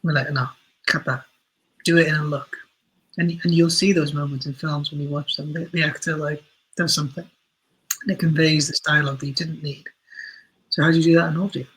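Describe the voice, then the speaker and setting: A man with a husky, slightly high voice talks casually as an interview guest. He explains his points in an animated way, often stumbling and correcting himself as he goes. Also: brisk, pauses often, low-quality recording. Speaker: interview guest, audio drama writer and director.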